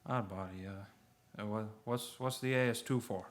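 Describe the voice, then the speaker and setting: A man's voice: on the low side, moderate pace, close to an everyday voice. A man playing a despairing, bewildered family man in a radio play, hesitant and stammering. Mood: wary, hesitant.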